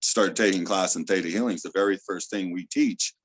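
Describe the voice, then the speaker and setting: A man with a loud voice, a theta healer, earnestly explaining his spiritual views in a casual interview. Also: low voice, steady tone, a little fast, no echo. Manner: earnest, explaining with many fillers.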